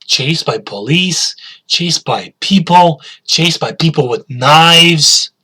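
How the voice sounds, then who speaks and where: Male teacher, slightly high voice, unhurried delivery, repeats questions and key words to students. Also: animated, bright, forceful tone, breathy edge. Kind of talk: slow spoken English lesson.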